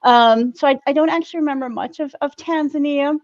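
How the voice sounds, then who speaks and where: A woman's voice: sits high, brisk, a little mellow, no echo, muffled recording. A woman from the Netherlands who grew up partly in South America, speaking conversationally as an interview guest. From Netherlands and South America, mostly Colombia. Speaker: interview guest, corporate global mobility manager. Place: at home, on an online call.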